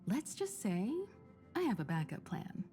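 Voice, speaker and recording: A woman's voice: fairly low pitch, very animated, measured pace, dark tone, soft background music. A woman voicing a poised, kindly, mature expedition leader in a game, composed and reassuring with an elegant delivery. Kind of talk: anime-style game voice acting.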